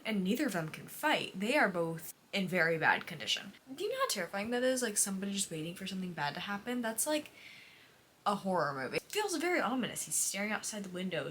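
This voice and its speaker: A woman's voice: medium pitch, fairly bright tone. A young adult woman chatting casually as a book vlogger, dramatic and slangy, speaking with emotive exaggeration. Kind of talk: casual reading vlog, confiding chat to camera. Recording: noticeable background noise.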